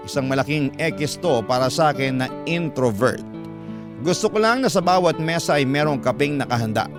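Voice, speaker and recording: A Filipino man reading a scripted first-person story as a radio drama narrator. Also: medium pitch.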